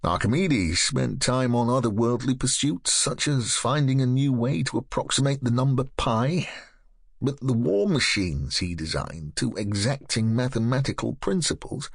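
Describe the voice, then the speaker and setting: A man reads a nonfiction audiobook in a measured, articulate way, his pitch rising and falling in a lively, expressive line. He sits close to a studio microphone in a dry, dead booth with no room sound at all. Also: vocal fry, husky, dry recording. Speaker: audiobook narrator. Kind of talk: scripted audiobook narration.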